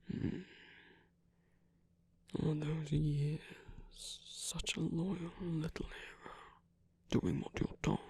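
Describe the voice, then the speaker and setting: A man voicing a doting, teasing villain in an intimate ASMR romantic roleplay, speaking softly and coaxingly with a breathy voice. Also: fairly high pitch, full character voice. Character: doting, teasing male villain comforting a tired hero.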